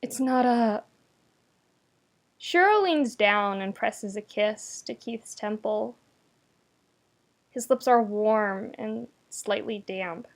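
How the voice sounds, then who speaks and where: A woman reading a story aloud as narrator, with expressive, wry delivery and dramatic emphasis. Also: mid-range voice, slow pace. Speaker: podfic narrator. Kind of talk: expressive scripted fiction narration.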